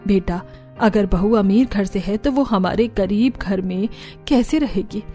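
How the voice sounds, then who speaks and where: A woman voicing a gentle, concerned middle-aged village mother-in-law in a cartoon story, in a fretful, motherly manner. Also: mid-range voice, unhurried, soft background music, natural voice.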